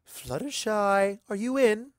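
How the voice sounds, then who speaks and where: Someone putting on a posh gushy unicorn lady, higher lilting voice, theatrical and genteel, storybook pony. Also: no room sound, studio quality, lightly acted. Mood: bright, fond. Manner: effusive, genteel, drawn-out words. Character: elegant, gracious, theatrical socialite unicorn mare.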